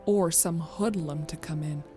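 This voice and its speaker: Lower-pitched woman narrating a story. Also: a little slow, dark tone, soft background music.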